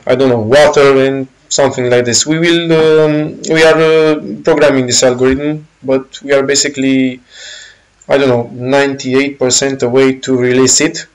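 Romanian-accented man demoing software. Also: mid-range voice, little pitch movement, rough recording. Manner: halting, many fillers and self-corrections. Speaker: game engine developer. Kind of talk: casual software walkthrough.

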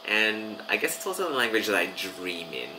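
A man in his thirties with an Australian accent, a language teacher chatting casually to camera with many fillers and pauses, seated in a small room. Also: fairly low pitch, very animated, background noise. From Vanuatu. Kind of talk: casual video talk to camera.

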